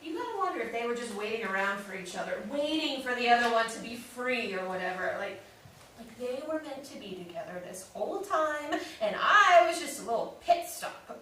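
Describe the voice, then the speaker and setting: A woman's voice: on the high side, big pitch swings, fairly quick, pushed and bright. A woman acting a wounded, defensive, newly separated sister in a staged play reading, candid and emotional. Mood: hurt, bitter. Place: live staged reading in an echoey room. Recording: very echoey room, distant mic, faint noise.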